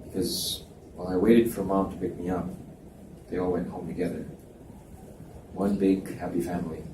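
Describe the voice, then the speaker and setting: A man reading the part of a gentle young man who talks softly while opening up, recorded at a live reading in a library. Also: level tone, moderate pace, a little hiss or hum, lightly acted. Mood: confiding. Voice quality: soft, low-key delivery. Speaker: reader voicing male lead. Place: library event room. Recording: echoey library room, mic at a distance.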